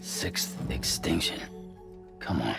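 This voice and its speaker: A man playing a gruff, world-weary courier in a game cutscene, speaking in terse, blunt, doubting phrases with a gravelly voice. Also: slow pace, over loud music, natural voice. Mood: skeptical. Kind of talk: dramatic video game cutscene acting.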